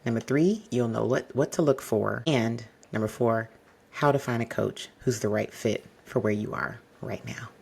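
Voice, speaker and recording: A man hosting an advice podcast, speaking to the listener in a polished, exacting and fairly monotone way. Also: mid-range voice, gravelly, slightly rough. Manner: measured, exacting, addresses listener directly. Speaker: podcast host.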